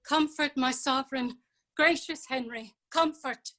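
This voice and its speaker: Woman acting a slow-spoken scheming nobleman. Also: sits high, a bit bright. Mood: soothing. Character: murderous scheming English nobleman, outwardly dutiful courtier. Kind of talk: classical verse stage acting. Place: remote staged reading over video call.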